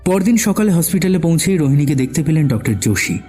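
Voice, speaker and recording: A man's voice: a little high, sing-song, fast, warm tone, faint music behind. A man narrating a scripted suspense story as the narrator of an audio drama.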